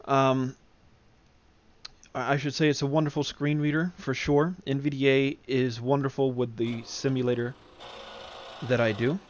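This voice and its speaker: A man giving an informal software demonstration, explaining things step by step. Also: mid-range voice, no room sound, muffled recording. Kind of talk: casual software tutorial. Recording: clear background noise of simulator ambience. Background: flight simulator background sounds.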